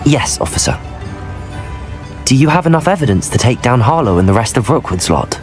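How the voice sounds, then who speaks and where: An earnest young wizard student voiced by a man for a video game. He speaks politely and properly, with a very mobile melody. Music plays clearly behind him. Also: mid-range voice, sing-song, brisk, close to an everyday voice. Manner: earnest, well-spoken. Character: brave, polite young male wizard student, calm and curious.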